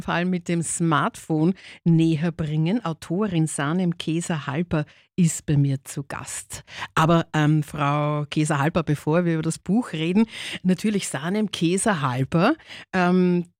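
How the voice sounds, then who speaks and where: A man's voice: high voice, darkish tone, no echo, studio quality. A man hosting a radio interview in a chatty way, often affirming his guest with short replies. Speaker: radio host.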